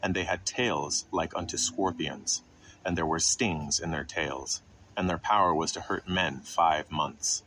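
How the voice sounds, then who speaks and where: A man with a fairly low voice delivers a scripted scripture lesson. He is didactic, setting out questions and answering them himself. There is clear background noise behind his voice. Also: a little slow, sharp tone, rough recording. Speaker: Bible teacher narrating a podcast.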